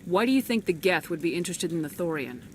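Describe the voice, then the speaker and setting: A woman's voice: fairly low pitch, animated, fast talker, a little hiss or hum, close to an everyday voice. A woman playing a resolute military commander in a video game, with a direct, businesslike manner. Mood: brisk, probing.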